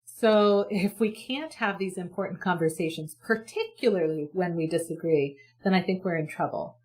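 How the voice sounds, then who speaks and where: A woman's voice: on the low side, moderate pace, darkish tone, low-quality recording. Earnest, chatty woman interview guest. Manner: earnest, optimistic, chatty with many restarts. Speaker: guest, communication facilitator. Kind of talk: conversational interview.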